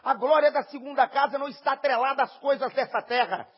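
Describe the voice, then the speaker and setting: A Brazilian man preaching in short phrases with a Brazilian Portuguese accent, pausing for an interpreter and rising to shouts. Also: extremely high pitch, lo-fi sound. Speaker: visiting preacher. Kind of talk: sermon with live interpretation.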